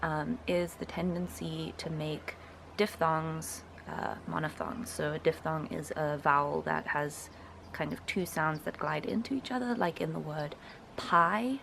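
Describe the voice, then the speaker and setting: A woman's voice: sits low, unhurried, vocal fry, a bit bright, slight background noise. A woman in her twenties with a South African accent, explaining informally to camera and sounding out vowels as examples. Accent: South African English. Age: 20s. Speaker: linguistics student presenting a reaction video. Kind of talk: casual explanatory talk to camera.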